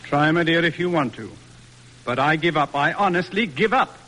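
A man's voice: a little high, expressive, moderate pace, bright, forceful tone. An actor playing a stern, exasperated father in a formal, emphatic 1940s radio drama style. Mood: exasperated resolve. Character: exasperated stern father disappointed in his son. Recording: noisy old radio broadcast recording.

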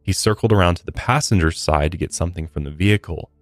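A man's voice: deep voice, quick pace, dry recording, faint music behind. A man co-hosting a true crime podcast, recounting case details in a casual, conversational way with blunt asides.

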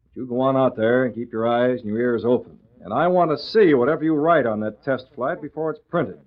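A man's voice: medium pitch, brisk, close to an everyday voice. A man playing a firm newspaper chief. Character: calm, authoritative male newspaper publisher. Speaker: newspaper publisher. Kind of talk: 1940s radio acting. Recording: tinny old radio recording.

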